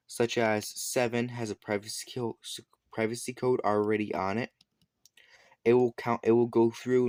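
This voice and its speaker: A man giving a casual, unscripted product demonstration, explaining things haltingly and correcting himself as he goes. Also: fairly low pitch, clear, resonant tone, dry recording, studio quality. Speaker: product reviewer.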